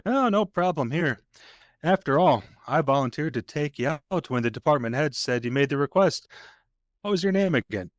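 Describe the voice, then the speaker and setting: A man's voice: a little high, expressive, no room sound, close to an everyday voice. Man playing a folksy rural deputy, dry close sound. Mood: folksy, easygoing. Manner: folksy, colloquial, unhurried storytelling. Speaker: actor in an audio play. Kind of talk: naturalistic audio drama dialogue.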